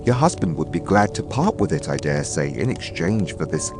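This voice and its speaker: Good-natured fairy-tale cobbler, voiced by a man; courtly, earnest, lower register, lively intonation. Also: moderate pace, over music. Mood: courteous.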